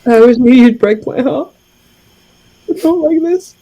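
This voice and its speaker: A woman plays a heartbroken female adventurer in a fantasy tabletop drama. Her high, soft-edged voice is breathy and tearful, raw with grief and slow in coming. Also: lively intonation, heavily acted, low-quality recording. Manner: emotional, raw. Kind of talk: improvised tabletop roleplay drama.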